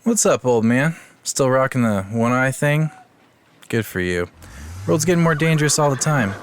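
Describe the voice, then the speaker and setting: A man's voice: mid-range voice, animated, moderate pace, lightly acted. An actor playing a cocky, smarmy young male adventurer with a swaggering, breezy manner in a fantasy audio drama. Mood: smug, breezy.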